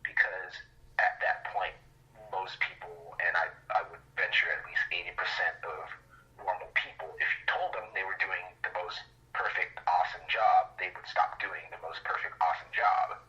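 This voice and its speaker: A man's voice: sits low, flat delivery, normal speed, pushed and bright, muffled recording. A man talking casually and reflectively as a podcast guest, explaining his views conversationally with analogies. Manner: conversational, explains with analogies and frequent "like" and "you know". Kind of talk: casual reflective interview talk.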